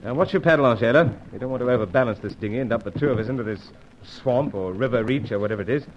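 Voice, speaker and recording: An actor playing a suave British secret agent with a British accent, clipped, dryly polite and unflappable, in a 1950s radio serial. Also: male voice, mid-range voice, racing, natural voice. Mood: composed. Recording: dull old radio recording with strong hiss.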